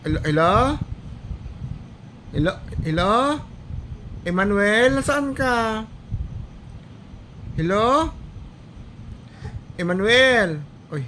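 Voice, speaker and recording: A woman's voice: mid-range voice, lively intonation, extremely slow, long pauses, fairly bright tone, slight background noise, rough recording. A woman with a Filipino accent chatting playfully with a small child, asking coaxing questions and repeating the answers back. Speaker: parent vlogger making a prank call. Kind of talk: casual playful phone chat with a small child.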